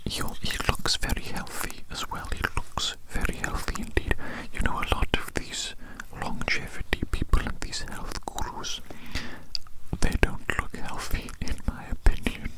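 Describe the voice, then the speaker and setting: An ASMR roleplay host speaking in a breathy, unvoiced whisper very close to the microphone, lingering over words and repeating phrases soothingly. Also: normal speed, poor audio.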